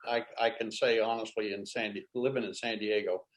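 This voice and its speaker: Relaxed man hosting a video call. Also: on the low side, fairly quick. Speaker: host. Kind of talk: casual video call chat.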